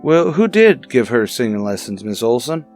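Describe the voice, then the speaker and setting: A man's voice: mid-range voice, sing-song, normal speed, darkish tone, soft background music, lightly acted. A man playing a businesslike police detective who questions in a clipped, matter-of-fact way in a radio drama. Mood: probing. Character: businesslike male police detective partner, probing. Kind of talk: old-time radio detective drama acting.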